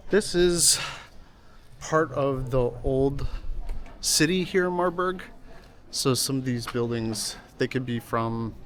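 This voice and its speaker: Male travel vlogger, mid-range voice, relaxed unscripted chat, recorded outdoors. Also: mid-range voice. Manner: laid-back, unscripted, plain-spoken. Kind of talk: casual vlog narration and chat. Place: outdoors in old town streets.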